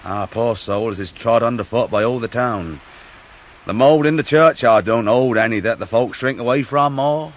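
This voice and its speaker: A man's voice: medium pitch, lightly acted. Man playing humble fisherman. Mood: awkward. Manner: halting, deferential, earnest. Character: humble, tender-hearted working-class young fisherman. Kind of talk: 1990s radio drama acting.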